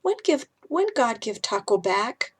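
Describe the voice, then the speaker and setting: Storybook small boy, played by a woman: high voice, anxious and sad, halting toddler speech. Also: big pitch swings, breathy edge, exaggerated voice. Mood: confused, upset. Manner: halting, repeats words, toddler grammar. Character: sleepy, worried little boy, sad about his dog.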